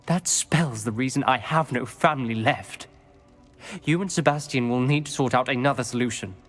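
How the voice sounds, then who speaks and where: A male actor as a young wizard student in a video game, haunted by guilt yet principled. He speaks formally and gravely at a middling pitch, with music playing clearly behind him. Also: normal speed, fairly bright tone, natural voice. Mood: bitter, remorseful. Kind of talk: scripted game cutscene acting.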